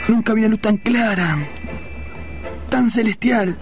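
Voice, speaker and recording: Casting: delirious man, slow and very high, awestruck melodrama for radio comedy. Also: soft background music. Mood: awed delirium. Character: dazed, delirious man seeing the light. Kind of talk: comic radio play acting.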